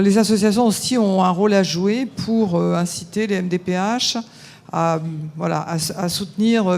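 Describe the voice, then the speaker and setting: A local elected official, a man, adding a halting, informal remark from the floor at a conference. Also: high voice, vocal fry, a little mellow. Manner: halting, informal, many restarts.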